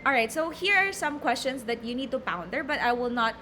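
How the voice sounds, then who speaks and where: A woman's voice: high voice, animated, brisk, bright, forceful tone, slight background noise. A young Filipino woman with a Filipino accent, teaching a recorded lecture, reading from slides and explaining with many fillers and repeated words. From Philippines.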